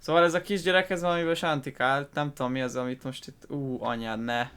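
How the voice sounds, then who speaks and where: A man's voice: mid-range voice, steady tone, fast, a bit bright, smooth. A man doing casual, sweary reaction commentary over video gameplay. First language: Hungarian. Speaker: gaming YouTuber. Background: game music.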